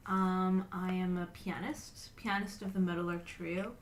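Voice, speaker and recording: A woman's voice: on the low side, little pitch movement, a little slow, a little hiss or hum. A woman from Montana, a pianist, asking thoughtful questions in a casual chat.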